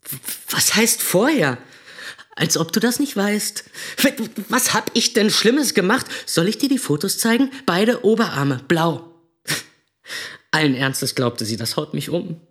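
A man's voice: high-pitched, big pitch swings, a little slow, fairly bright tone, full character voice. A young man playing a stubborn, hot-tempered boyfriend telling his side in a radio drama. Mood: defensive, angry. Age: young adult.

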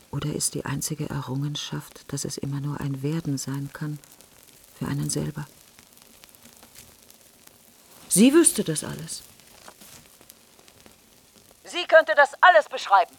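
Grieving friend, radio play monologue, low creak, breathy near-whisper, halting fragments, fearful and brooding. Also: female voice, noisy background. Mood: searching, somber. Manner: halting, fragmented, deliberate phrasing. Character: grieving, fearful, brooding woman mourning her best friend. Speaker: radio drama actor. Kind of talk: literary radio drama monologue.

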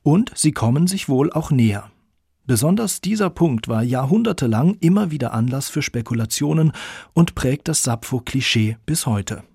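Guy narrating a radio documentary, gravelly voice, really dry close sound. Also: medium pitch, expressive, moderate pace, a little mellow, no room sound.

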